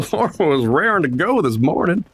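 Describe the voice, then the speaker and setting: A man playing an excitable, folksy Old West sheriff with an in a radio play. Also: fairly high pitch, normal speed, slightly rough, heavily acted. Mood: folksy, eager. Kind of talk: western radio play acting.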